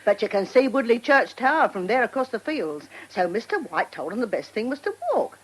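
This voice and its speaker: A woman's voice: fairly high pitch, brisk, slightly rough, rough recording. An actress playing a gossipy older countrywoman with a rural southern English accent and rustic dialect, in a British radio drama. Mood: gleeful storytelling. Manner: animated, relishing storytelling. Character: gossipy older countrywoman, loyal companion to her lady.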